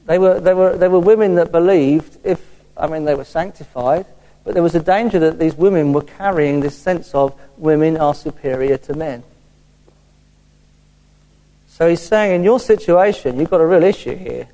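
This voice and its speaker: Male preacher, slightly high-pitched, relaxed tone, informal sermon, explanatory, wry asides, reverberant hall recording. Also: moderate pace. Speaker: preacher teaching a congregation. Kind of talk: informal Bible teaching sermon.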